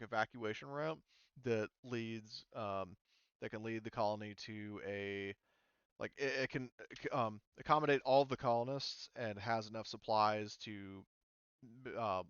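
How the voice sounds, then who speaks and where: A man running a tabletop game as game master in casual speech, often halting and thinking aloud mid-sentence. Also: on the low side, fairly even intonation, a bit bright, clean tone, dry recording, highs cut off.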